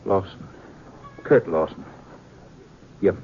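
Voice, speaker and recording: An actor playing a bluff, stubborn ex-gunfighter turned farmer in an old radio western, plainspoken and folksy. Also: male voice, sits low, slow pace. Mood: grim, determined. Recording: muffled, noisy old radio recording.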